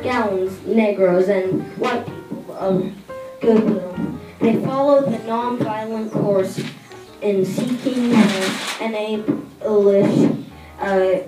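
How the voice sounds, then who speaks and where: A third-grade child reading a famous speech aloud for a school reader's theatre, halting and deliberate with stumbles. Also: medium pitch. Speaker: student reader. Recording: echoey, distant mic, music behind. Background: music.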